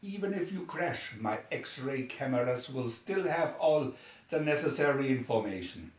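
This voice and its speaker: A man's voice: mid-range voice, lively intonation, measured pace, natural voice. A man voicing a gloating, scheming male villain in a storybook reading, with theatrical menace. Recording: dull, roomy, distant microphone.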